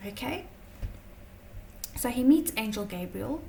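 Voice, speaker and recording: A woman teaching a tarot lesson in a chatty, casual way, her voice slightly croaky from a long day of talking, often checking in with "okay?". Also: a little high, lively intonation, slow pace, mellow, recorded from a distance, slight background noise. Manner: chatty, many fillers and "okay?" check-ins.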